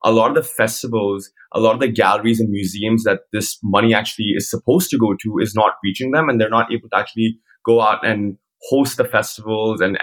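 Male, 20s, lower register, conversational podcast interviewer, wordy with repeated phrases. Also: fairly bright tone. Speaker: podcast co-host.

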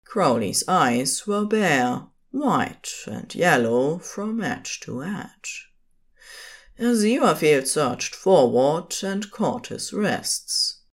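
A woman's voice: low-pitched, very animated, extremely slow, slightly rough. A woman reading scripted fiction as the narrator of an audio drama.

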